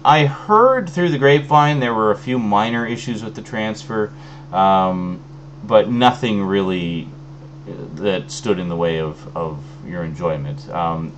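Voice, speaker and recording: A man with a very low voice talks loosely through an unboxing, full of ums and trailing restarts. He sounds a little phlegmy from an oncoming cold. A faint hum sits under the recording. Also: highs cut off. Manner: relaxed, rambling, many fillers. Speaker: video host. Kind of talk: casual unboxing chat.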